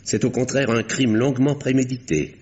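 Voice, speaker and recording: An actor playing a calm doctor-detective who exposes a murderer in an old radio drama, speaking in a measured, pointed way with dramatic pauses. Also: male voice, medium pitch, natural voice. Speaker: Doctor Westlake. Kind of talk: 1950s radio drama acting. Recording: dull old radio recording.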